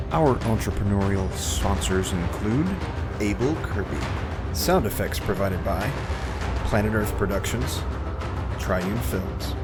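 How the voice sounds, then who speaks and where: A man narrating an audio drama serial in a theatrical, scripted announcer style. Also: on the low side.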